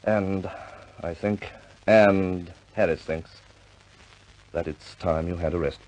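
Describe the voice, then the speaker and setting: A senior British air force commander in an old 1950s war film. His voice is low and measured, with clipped formal phrasing and a firm yet fatherly manner. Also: fairly even intonation, a little mellow, low-quality recording. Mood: kindly, regretful.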